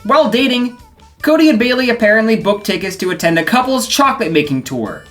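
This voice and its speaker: A woman's voice: fairly high pitch, expressive, fast, a bit bright, faint music behind. A woman hosting a video essay, narrating from a script with dry, sarcastic commentary.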